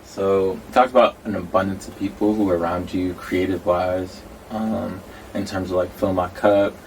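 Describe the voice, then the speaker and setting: A very deep-voiced man leads the interview, asking loose, slangy questions that ramble a bit. He is a little far from the microphone, with faint noise in the background. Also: fairly even intonation, moderate pace, a little mellow.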